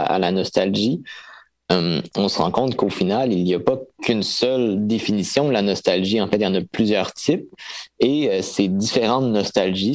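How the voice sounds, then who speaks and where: A man hosting a podcast, putting measured, composed questions to his guest. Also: fairly low pitch, darkish tone, no room sound. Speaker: podcast host. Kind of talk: interview questions.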